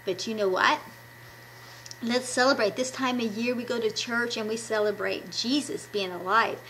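A woman's voice: fairly high pitch, steady tone, moderate pace, fairly bright tone, slight background noise, low-quality recording. Enthusiastic middle-aged woman addressing children. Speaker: children's ministry puppet presenter. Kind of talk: devotional talk to children. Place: small room at home. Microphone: webcam at arm's length.

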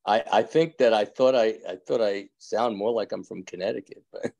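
Older rabbi with a thick New York accent, gravelly, chatty, on a home video call. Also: male voice, lowish voice, normal speed, dark tone. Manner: rambling, joking, laughs often, many restarts. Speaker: rabbi teaching an evening class. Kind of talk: casual chat with class members. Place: indoors at home. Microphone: video call from a phone or webcam.

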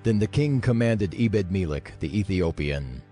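A man's voice: deep voice, animated, moderate pace, warm tone, soft background music. A man narrating scripture as part of a dramatized audio reading.

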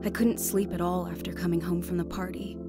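A woman's voice: fairly low pitch, flat delivery, a little fast, over loud music, natural voice. An actress playing a troubled woman haunted by fear of death, earnest and anguished in a radio drama. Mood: somber, reflective. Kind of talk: radio drama acting with first-person narration.

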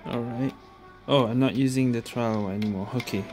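Woman, very low voice, casual gameplay commentary, short low-key remarks, loud game music behind. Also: steady tone, normal speed. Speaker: game streamer.

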